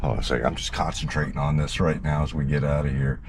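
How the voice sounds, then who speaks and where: A man's voice: rumbling low, level tone, fast. A man hosting a drive, talking informally and asking questions while in a moving car. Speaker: host filming a car test drive. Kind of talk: casual conversation while driving. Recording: clear car cabin road noise.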